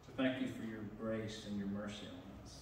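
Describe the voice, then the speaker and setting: A middle-aged man leading prayer as pastor, plain-spoken with some halting fillers, heard from a pulpit mic in a large church sanctuary. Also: on the low side, level tone, noisy background. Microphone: pulpit mic, camera far back. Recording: echoey sanctuary from far off.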